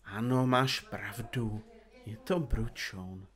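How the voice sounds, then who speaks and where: A man's voice: on the low side, a bit bright, natural voice. A man playing a talkative, theatrical detective in an audio drama, speaking in a florid, pompous and teasing way. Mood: playful, mock-sulky. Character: chatty, theatrical, teasing male detective dictating to his recorder. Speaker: detective in a comedy mystery podcast.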